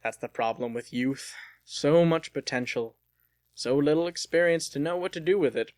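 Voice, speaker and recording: A man's voice: a little high, normal speed, a little mellow, no echo, lightly acted. A man voicing a stern, shrewd, wealthy middle-aged father with a commanding, businesslike manner in a dramatic audiobook. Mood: probing.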